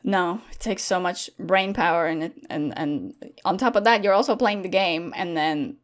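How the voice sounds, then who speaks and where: Chatty young woman, lowish voice. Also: moderate pace. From Latvia. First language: Latvian. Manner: chatty and animated, many fillers and restarts. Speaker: guest content creator. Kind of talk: casual interview chat.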